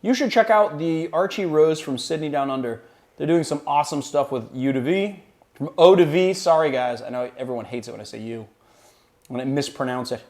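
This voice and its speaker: A man with a loud, resonant voice hosting a cocktail livestream, chatting casually and answering viewer questions. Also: a little high, brisk. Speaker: cocktail channel host.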